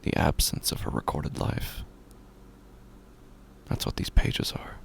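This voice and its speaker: An actor playing a grieving, haunted widower who is slowly unraveling, in a halting, brooding horror audio drama monologue with a gravelly, breathy voice. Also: male voice, close to an everyday voice. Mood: hushed grief. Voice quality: gravelly, breathy, often dropping to a whisper. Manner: halting, brooding, with long pauses.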